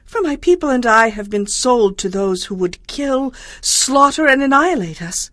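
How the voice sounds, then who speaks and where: A young Persian queen in an audio Bible drama. She is regal and earnest, with a dramatic, formal delivery and a fairly high voice that moves widely in pitch. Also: lively intonation, normal speed, fairly bright tone, no room sound. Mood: impassioned, accusing.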